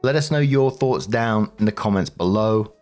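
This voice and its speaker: A man's voice: lowish voice, normal speed, a little mellow, faint music behind. A man reading online posts aloud as the channel's narrator, then giving chatty commentary with fillers like "I mean" and "like".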